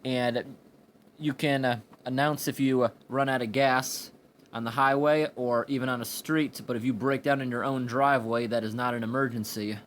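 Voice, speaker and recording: A man giving an informal hands-on product review in a plain, explanatory way with halting phrases, recorded indoors on a handheld camera. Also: mid-range voice, steady tone, a bit bright. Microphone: handheld camera or phone microphone. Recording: amateur recording with background room noise.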